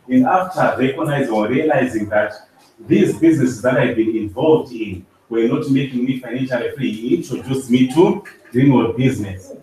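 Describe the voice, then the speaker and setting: Man from Zimbabwe, halting sales presenter, distant mic in a reverberant meeting room. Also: mid-range voice, a little hiss or hum. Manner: halting, with drawn-out fillers and restarts. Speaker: business presenter. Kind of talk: sales presentation to a live audience. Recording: echoey hall, mic far off.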